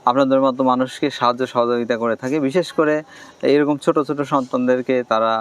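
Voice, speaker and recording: A man's voice: mid-range voice, steady tone, fast talker, clean tone. A man with a Bangladeshi accent, interviewing a guest in a conversational style.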